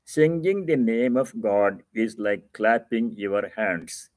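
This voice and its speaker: A man's voice: lowish voice, expressive, takes it slowly, a little mellow, no room sound. A man giving a religious discourse as a monk, reading scripture aloud and explaining it in a didactic, emphatic way, repeating key phrases for stress.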